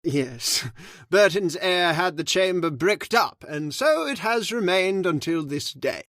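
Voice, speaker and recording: A man playing a solemn caretaker who slowly tells a dark family legend in an old-time radio drama. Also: high voice, lively intonation, dry recording, studio quality, exaggerated voice. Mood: grave. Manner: slow, ominous, measured delivery. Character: grave, solemn male storyteller of the house. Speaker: Mister Roberts, old manor caretaker telling the legend.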